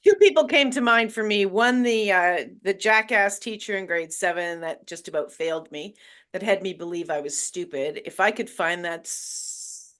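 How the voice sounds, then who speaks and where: A woman's voice: medium pitch, moderate pace, a bit bright. Woman host, candid and emotional, hesitant fillers, video call audio. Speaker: book launch host. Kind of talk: casual group chat.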